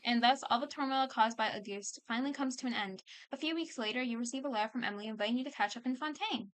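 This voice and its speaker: A woman's voice: a little high, quick pace, fairly bright tone, clean tone, dry recording. A woman streamer casually reacting to a video game and reading some of its text aloud.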